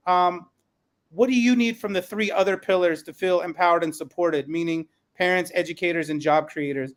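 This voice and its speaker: A man with a high voice moderates a podcast panel. He speaks earnestly and conversationally, passing questions to the guests. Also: fairly even intonation, normal speed.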